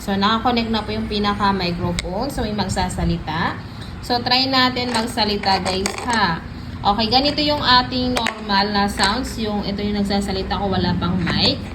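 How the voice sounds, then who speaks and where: A woman with a Filipino accent giving a casual, chatty product demo and sales pitch, often addressing her viewers directly. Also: normal speed, noisy background. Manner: chatty, repeats filler tags and addresses viewers. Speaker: product reviewer and live seller.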